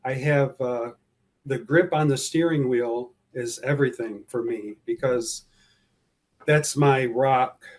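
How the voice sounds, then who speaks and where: A man being interviewed about his anxiety, speaking hesitantly with frequent pauses and fillers. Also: medium pitch. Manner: hesitant, many fillers and restarts. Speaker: guest describing his driving anxiety. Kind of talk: personal account in an interview.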